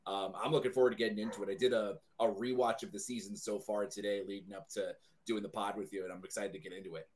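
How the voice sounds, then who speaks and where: A man with a slightly low voice. He speaks as a podcast guest in a casual, conversational fan discussion. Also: steady tone, fast talker, hardly any pauses, darkish tone.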